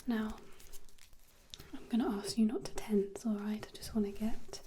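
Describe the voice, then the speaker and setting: A woman whispering gently and unhurriedly in an ASMR role-play, as if conducting a measuring appointment. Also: mid-range voice, moderate pace, a little hiss or hum.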